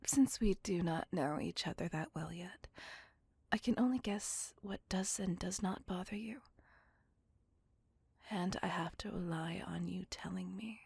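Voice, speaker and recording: A woman playing a courteous, doting, possessive vampire in a hushed, breathy ASMR roleplay, speaking gently in formal, courtly phrases. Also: lowish voice, unhurried, dry recording, exaggerated voice. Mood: earnest, reassuring. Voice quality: breathy, hushed near-whisper.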